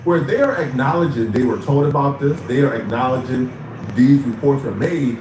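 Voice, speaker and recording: A man recapping a news story in a steady, explanatory way, with some fillers. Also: mid-range voice, moderate pace. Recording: echoey room with faint noise.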